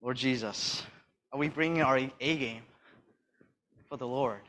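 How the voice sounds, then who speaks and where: A soft-spoken man giving a sermon and reading Bible verses, hesitant with fillers and restarts, pressing hard on key phrases. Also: mid-range voice, measured pace. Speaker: church speaker.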